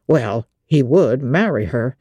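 An older country innkeeper, as an audiobook reader puts him on, leans in to share gossip in rustic, confiding speech. His voice is dark and husky, recorded dry and close. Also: mid-range voice, expressive, takes it slowly, no echo. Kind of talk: audiobook character reading.

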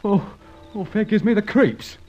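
Jittery, high-voiced superstitious working man. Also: animated, normal speed, a little mellow. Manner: nervous, colloquial, exclaiming. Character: jittery, superstitious, simple working-class male companion. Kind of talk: old-time radio adventure serial acting. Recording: muffled, noisy old radio recording.

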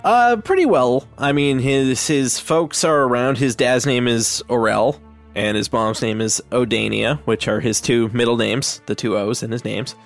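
A man's voice: medium pitch, unhurried, creaky, soft background music. A man playing a tabletop game, explaining his character's backstory casually, with a lot of fillers. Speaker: player.

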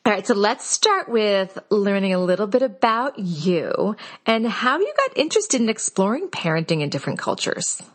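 A woman's voice: medium pitch, big pitch swings, moderate pace, hardly any pauses. A young woman hosting an interview in a bubbly, chatty way. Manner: bubbly, laughs often. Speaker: podcast host. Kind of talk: interview conversation.

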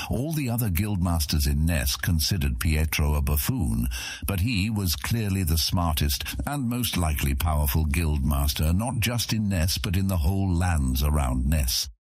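A man with a husky voice narrating a scripted fantasy audiobook. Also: very deep voice, darkish tone, no echo, clean studio sound.

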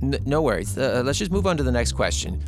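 A man's voice: mid-range voice, big pitch swings, fast talker, over music, close to an everyday voice. An actor playing a glib, sardonic male reality show host in an audio drama parody. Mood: placating.

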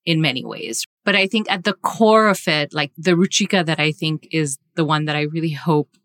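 Low-voiced woman, emphatic and passionate. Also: moderate pace, fairly bright tone, dry recording, studio quality. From Singapore. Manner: passionate, emphatic, with many fillers and restarts. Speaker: author and guest. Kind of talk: podcast interview.

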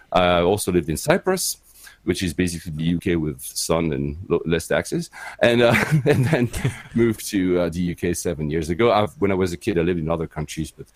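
Deep-voiced Swiss man, rambling chat. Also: a little mellow, no room sound. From Switzerland. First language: French. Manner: rambling, many fillers and restarts. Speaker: podcast guest. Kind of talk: casual chat on a live stream.

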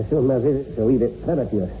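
A man playing a courteous, brisk village doctor in a 1960s radio horror drama, with a formal, solicitous manner. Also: mid-range voice, mellow, close to an everyday voice. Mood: apologetic, courteous. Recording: muffled old radio recording, faint hiss.

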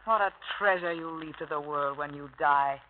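A woman's voice: deep voice, moderate pace, slight background noise, natural voice. An actress playing an outspoken, scornful young woman in a radio drama, biting and pointed in her delivery. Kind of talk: 1950s radio drama acting. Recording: muffled vintage radio recording.

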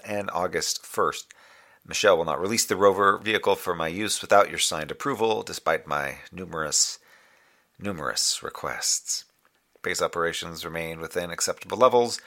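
Casting: formal moonbase comms officer, very low voice, forceful bright edge, dry and measured. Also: male voice. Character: formal, dryly wry moonbase communications officer. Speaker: narrator and communications officer. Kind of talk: scripted audio drama broadcast report.

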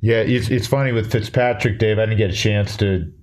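A man's voice: fairly low pitch, steady tone, fairly quick, darkish tone. A man interviewing casually about sports, working his way to the point in rambling, roundabout sentences.

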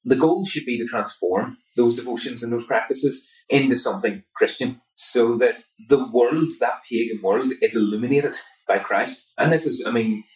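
A man's voice: medium pitch, moderate pace, hardly any pauses. A man from Northern Ireland with a Northern Irish accent, a guest academic answering interview questions in an earnest, explanatory way. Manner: earnest, explanatory, builds points steadily. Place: conference venue. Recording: roomy, from far off mic.

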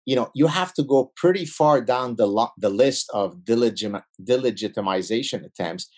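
A man's voice: mid-range voice, moderate pace. A man who grew up in Lebanon with Arabic as his first language, talking as a guest in a podcast interview and making his points in emphatic lists with frequent restarts. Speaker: guest, behavioral scientist.

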